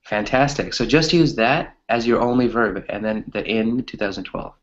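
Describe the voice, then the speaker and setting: A man's voice: lowish voice, moderate pace, muffled recording. A man teaching English grammar to a small group, explaining with examples in an encouraging way and often checking in with short tag questions.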